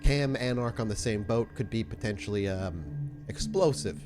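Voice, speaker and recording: Man voicing a blunt, low-voiced female vampire boss. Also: expressive, normal speed, over music, lightly acted. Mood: wary, bargaining. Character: shrewd, suspicious, blunt female Anarch vampire leader.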